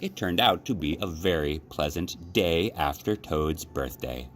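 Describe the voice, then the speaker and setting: A man reads a children's story aloud at a slow, deliberate pace. His low voice moves through lively, expressive intonation, in the manner of a library storyteller. Faint background noise is present. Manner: expressive, simple deliberate phrasing.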